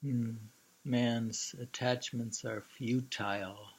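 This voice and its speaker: A man informally reading poetry aloud and adding his own halting, musing commentary, with thoughtful hums between phrases. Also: medium pitch, fairly even intonation, breathy edge, rough recording. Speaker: reader and commentator.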